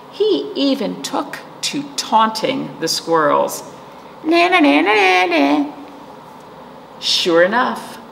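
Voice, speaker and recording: A middle-aged woman reads a story aloud to young children with a very lively, swooping delivery. She takes her time and speaks to listeners directly. The room rings with reverb. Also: medium pitch, gravelly. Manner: animated, acting out the story with asides to listeners. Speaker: children's librarian and storytime host. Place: indoor library storytime room. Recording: roomy reverb with faint room noise.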